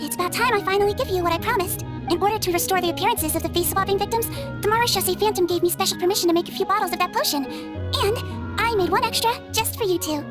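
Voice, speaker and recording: Little nurse creature for a video game: extremely high, youthful, gentle, calm, matter-of-fact. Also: female voice, fast talker, music in the background. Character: small kindly female nurse creature, youthful, calm, candid. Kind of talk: video game cutscene acting.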